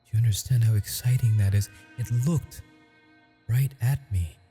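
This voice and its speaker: A man voicing a gentle, teasing male ghost who speaks softly and intimately in an ASMR audio roleplay, chuckling often. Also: lowish voice, normal speed, faint music behind, close to an everyday voice. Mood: wondering.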